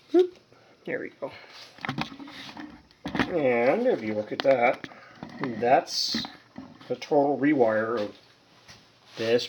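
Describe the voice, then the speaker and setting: A man with a middle-pitched voice talks casually through a hands-on repair. He rambles and halts, thinking aloud as he shows his work. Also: dull audio. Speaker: hobbyist radio repairer, presenter. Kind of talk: casual hands-on demonstration talk.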